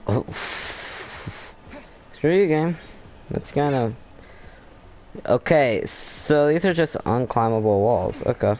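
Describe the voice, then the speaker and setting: A young man giving casual, halting gameplay commentary, thinking aloud as he plays. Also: mid-range voice, expressive, darkish tone, slight background noise. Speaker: gaming video host.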